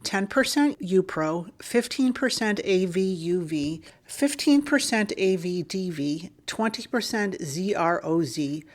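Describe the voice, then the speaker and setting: A woman's voice: fairly low pitch, measured pace, hardly any pauses. Woman reading a listener letter aloud. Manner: steady, clear reading of figures and tickers. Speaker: podcast co-host reading listener email.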